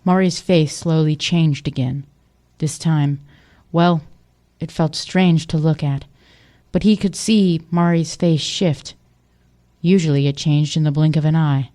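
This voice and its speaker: A woman reads scripted narration for an audio drama. Her voice is notably low for a woman, with a dark, relaxed tone that carries the story between scenes. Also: unhurried.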